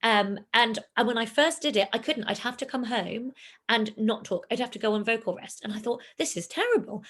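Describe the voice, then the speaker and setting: A woman, a singing teacher, talking in a relaxed interview and acting out her pupils' lines. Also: on the high side, brisk. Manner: animated, acts out pupils in quoted voices. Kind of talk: casual interview chat.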